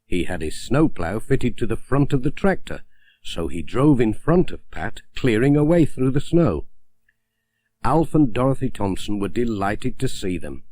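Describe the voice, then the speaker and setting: A man narrating a children's story as an audiobook reader, in a gentle, expressive storytelling manner. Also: mid-range voice, a little slow, no echo.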